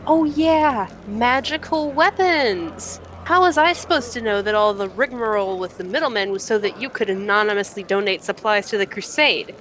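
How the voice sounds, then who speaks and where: A woman's voice: mid-range voice, animated, normal speed, hardly any pauses, lightly acted. A woman voicing a tough, wry female city scout in a video game, with a folksy, sarcastic, slangy way of talking. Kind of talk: reading video game dialogue aloud. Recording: loud music under the voice.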